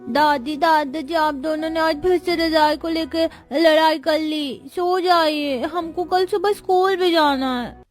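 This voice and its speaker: A woman's voice: high-pitched, steady tone, slow, faint music behind, voice pushed up. A woman voicing a small schoolchild in a cartoon story, pleading with the grandparents. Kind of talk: Hindi cartoon story dialogue.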